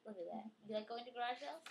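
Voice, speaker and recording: A woman reading a picture book aloud to a child in an expressive way, with chatty, coaxing questions for the young listener. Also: a little high, quick pace, slight background noise.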